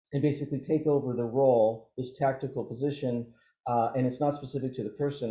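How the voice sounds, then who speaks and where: A man giving an informal training presentation as an instructor, explaining things haltingly and thinking aloud through examples. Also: medium pitch, dark tone. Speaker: emergency radio trainer. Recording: dull, roomy, echoey audio.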